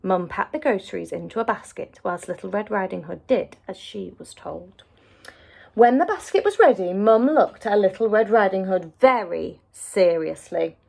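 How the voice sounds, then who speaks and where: A woman's voice: medium pitch, animated, normal speed, vocal fry, dark tone. A woman teacher narrating a children's story expressively, as if to young listeners.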